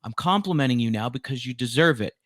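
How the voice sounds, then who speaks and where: A man's voice: medium pitch, expressive, quick pace, a bit bright, no echo, clean studio sound. A man hosting an interview podcast, talking conversationally in an effusive, emphatic way.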